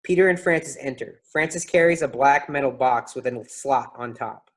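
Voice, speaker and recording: A man's voice: medium pitch, normal speed, lightly acted. A man reading out stage directions as scripted narration for a play reading.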